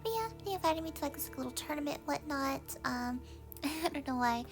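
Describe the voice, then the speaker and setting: A woman's voice: high-pitched, brisk, over music. A young woman from Texas, chatting casually through an unboxing with lots of fillers, sounding a little congested. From Texas, USA. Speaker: vlogger.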